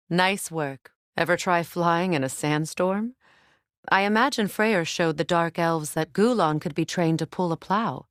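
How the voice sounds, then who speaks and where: A proud Norse warrior goddess from a video game. She is a stern, grieving mother with a commanding, measured delivery and dry wit. The sound is dry and free of room. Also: lowish voice, no echo.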